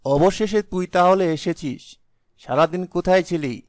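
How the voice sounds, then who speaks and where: A man voicing a young village husband, blustering but easily cowed, with an exclaiming, melodramatic delivery in an animated folk tale. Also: high-pitched, a little slow, no echo, studio quality. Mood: angry, scolding. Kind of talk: animated fairy-tale voice acting.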